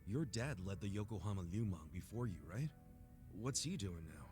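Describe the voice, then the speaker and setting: A man playing an earnest, soft-hearted street brawler in a video game cutscene, speaking frankly and informally. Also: on the low side, lively intonation, moderate pace, close to an everyday voice. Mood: curious. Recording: music under the dialogue.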